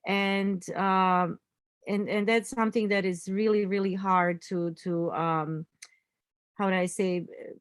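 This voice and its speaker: Halting woman, dry sound. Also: mid-range voice, steady tone, clear, resonant tone, dry recording. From Croatia. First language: Croatian. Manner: halting, many fillers and restarts, laughs easily. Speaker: interview guest, entrepreneur. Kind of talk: casual interview chat.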